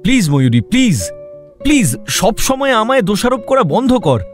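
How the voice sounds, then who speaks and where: An actor playing a desperate, heartbroken young man in an audio drama, pleading and halting. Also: high voice, very animated, soft background music. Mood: pleading, dejected. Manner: pleading, halting, repeats words. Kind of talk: Bengali audio drama acting.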